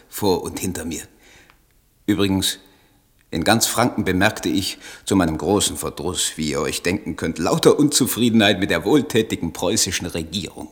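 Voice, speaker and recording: Troubled poet type, low voice, solemn and halting, old school literary radio drama, very declamatory. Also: male voice, slightly rough. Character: troubled idealistic German poet reading his own letters. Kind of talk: literary radio play acting.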